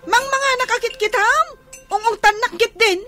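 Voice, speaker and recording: An actress playing a whiny, defiant and emotional young runaway daughter in a radio drama. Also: female voice, very high voice, normal speed. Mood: upset, defiant. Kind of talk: Filipino radio drama acting. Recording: music behind the voice.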